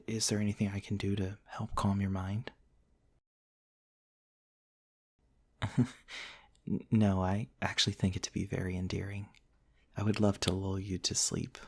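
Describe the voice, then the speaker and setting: A man playing a gentle, courtly, centuries-old vampire prince in a soft, intimate ASMR roleplay, tender and hesitant with old-fashioned politeness. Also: low voice, creaky, husky, heavily acted. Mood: tender, shy.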